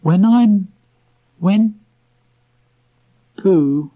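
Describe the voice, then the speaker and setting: A man voicing a young boy in a storybook, tender, dreamy and earnest, speaking haltingly. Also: high voice, lively intonation, voice pushed up, heavily acted. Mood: hesitant, tender. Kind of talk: storybook character voice. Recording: dull restored cassette tape.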